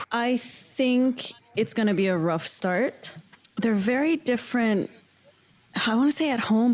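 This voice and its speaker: A woman chatting casually as an interview guest. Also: mid-range voice. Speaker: cast member interviewee. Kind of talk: casual chat.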